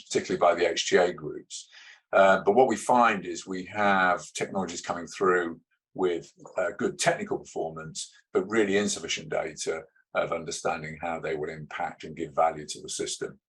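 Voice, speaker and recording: A man speaking as a panelist in a discussion, halting often with ums and restarts as he makes his points. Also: low-pitched, fairly bright tone, husky, rough recording. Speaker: panelist and stroke researcher.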